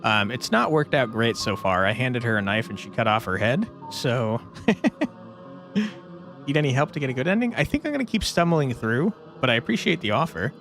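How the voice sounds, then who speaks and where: Male game streamer with lively intonation. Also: medium pitch, normal speed. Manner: informal, reads out chat and answers it. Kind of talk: casual live gameplay commentary, chatting with viewers. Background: game music.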